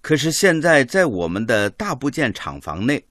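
An actor playing a stern Soviet team leader in a Chinese radio drama, formal, deliberate and emphatic. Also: male voice, medium pitch, sing-song, a bit bright, no room sound, studio quality. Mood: impatient, worried. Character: male Soviet expert team leader, stern, measured, impatient.

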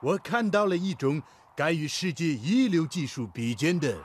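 An actor playing a genial, courteous Japanese visiting expert in a radio drama, speaking in a measured way. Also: male voice, on the high side, lively intonation, slight background noise, natural voice. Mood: admiring.

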